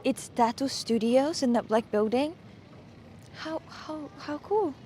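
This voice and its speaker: A woman from Russia, streaming casual chat on a street with her phone, excitable and playful. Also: high-pitched, takes it slowly, slight background noise.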